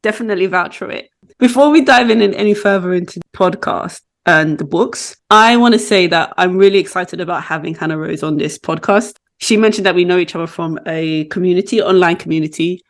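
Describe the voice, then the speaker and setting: A woman's voice: lowish voice, brisk. A woman hosting a podcast about books, chatting casually and laughing often. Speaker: podcast host. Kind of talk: casual book chat.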